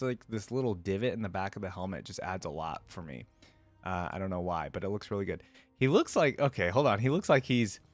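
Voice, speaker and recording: A man's voice: on the low side, dry recording. A man giving casual, off-the-cuff reaction commentary as a video host, talking in an enthusiastic, informal, slangy way.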